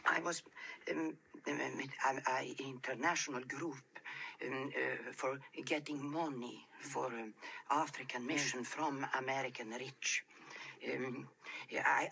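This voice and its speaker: An actress playing a timid, pious Swedish woman missionary who speaks halting, broken English with a Swedish accent. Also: rumbling low, creaky, bright, forceful tone, breathy edge, exaggerated voice.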